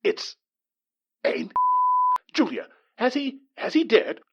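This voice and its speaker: An actor playing a blustering, condescending man with a loud, pompous, indignant manner. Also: very high voice, wildly swooping pitch, takes it slowly, breathy edge, muffled recording, exaggerated voice. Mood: dismayed.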